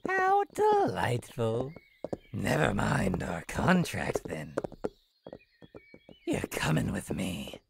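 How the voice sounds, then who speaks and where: A woman voicing a mischievous, taunting clown-like jester in a horror game, in a theatrical, teasing singsong with drawn-out words. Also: rumbling low, wildly swooping pitch, vocal fry, a little hiss or hum, full character voice. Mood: sinister delight. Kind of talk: visual novel horror game character acting.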